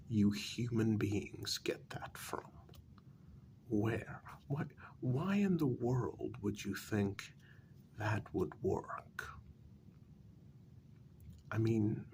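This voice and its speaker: A man playing a haughty, dryly amused vampire in a soft-spoken ASMR role-play, speaking formally and condescendingly in a breathy, gravelly near-whisper. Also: mid-range voice, a little hiss or hum. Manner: slow, deliberate, formal, condescending. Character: haughty, dryly amused male vampire, predatory yet civil.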